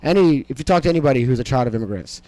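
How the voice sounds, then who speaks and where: A man's voice: mid-range voice, expressive, racing, warm tone, no echo, poor audio. A young man whose first language was Amharic, a comedian talking casually in an interview, rambling and profane with many fillers. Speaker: stand-up comedian, podcast guest.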